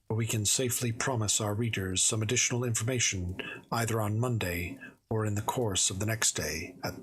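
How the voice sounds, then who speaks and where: A man reading a classic story aloud on a livestream, slightly hoarse, with small slips and self-corrections. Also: on the low side, fairly bright tone, slight background noise, rough recording. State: a little hoarse. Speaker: streamer reading stories aloud.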